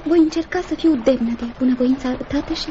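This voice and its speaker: An actress in a radio play playing a meek, earnest young niece who speaks politely and hesitantly. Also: female voice, high-pitched, steady tone, warm tone, lightly acted. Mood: timid, pleading. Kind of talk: Romanian radio theatre acting. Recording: muffled, noisy old radio recording.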